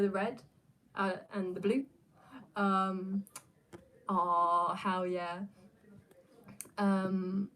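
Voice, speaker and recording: A woman's voice: mid-range voice, rough recording. Rambling young woman with an English accent. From New Zealand. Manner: rambling, self-deprecating, frequent fillers and restarts. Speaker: YouTuber reading viewer comments. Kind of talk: casual chat.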